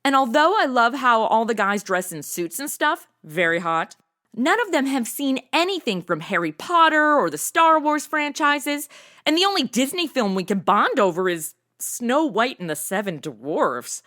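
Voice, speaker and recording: A woman's voice: fairly high pitch, very animated, moderate pace, a bit bright. An actress playing a sassy, slangy modern young woman with a sarcastic streak in a comedy audio drama. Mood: wry.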